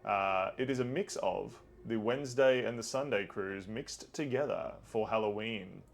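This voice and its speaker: A man hosting a tabletop game as game master, chatting casually with a playfully theatrical manner. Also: normal speed. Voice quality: deep, gravelly.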